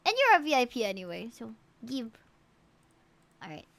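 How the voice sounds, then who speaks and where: A woman live-streaming a game, chatting casually with viewers and reading out stats in an animated way, with frequent exclamations and asides. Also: on the high side, moderate pace, gravelly. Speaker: live streamer reviewing players' game characters.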